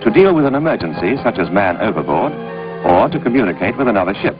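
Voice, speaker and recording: Male British narrator for an instructional film, lowish pitch, animated clipped scripted read, muffled vintage sound. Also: fast, music in the background. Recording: dull, band-limited old film soundtrack.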